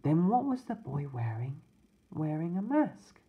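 Small curious kid voiced by a guy, high and blurty, asks everything. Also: big pitch swings, unhurried, highs cut off. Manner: blurting, wondering. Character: curious little boy in a stroller.

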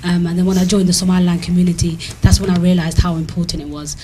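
Fast-talking young woman, code-switching. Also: fairly low pitch, level tone, mellow, a little hiss or hum. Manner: fast, switches between English and Somali mid-sentence. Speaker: panel guest. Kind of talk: panel discussion. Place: TV studio, indoors. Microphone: handheld mic.

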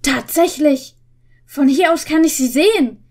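A woman's voice: high-pitched, a little slow, a little mellow. A young woman voicing eager boy detectives while reading storybook dialogue aloud with emphasis. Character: excited, sure-minded boy detectives in a story.

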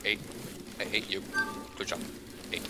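A man's voice: unhurried, heavy background noise. A young man joining a game playthrough, trading teasing, sarcastic remarks. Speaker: co-player. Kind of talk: casual banter.

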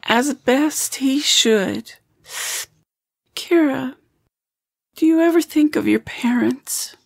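A woman acts out a blunt, fond old woman for an audio drama. Her voice is creaky and unhurried, recorded dry. She grumbles and runs herself down as she talks. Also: high-pitched, a little mellow, no room sound, full character voice. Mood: serious.

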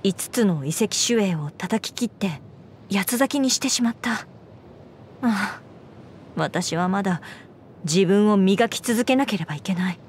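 A woman voicing an earnest, dutiful young knight commander in a Japanese game, speaking in a formal, measured way. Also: mid-range voice, lively intonation, a little hiss or hum, natural voice. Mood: regretful. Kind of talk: Japanese game character voice lines. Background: background music.

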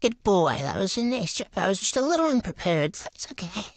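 A young woman gives casual play-by-play commentary as a gaming vlogger. She talks in short, chatty phrases, narrating each move as she goes. Her voice sits at a medium pitch and is recorded close, with very little room sound. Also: very animated, a little fast, dry recording.

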